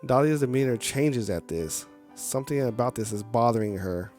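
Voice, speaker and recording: A man's voice: mid-range voice, moderate pace, darkish tone. A man streaming a game, reading the story aloud and dropping in casual reactions. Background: music.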